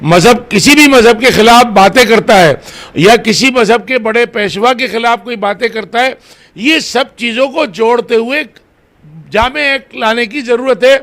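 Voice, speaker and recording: A man answering interview questions as head of a religious organisation, deliberate and formally worded. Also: extremely high pitch, a bit bright.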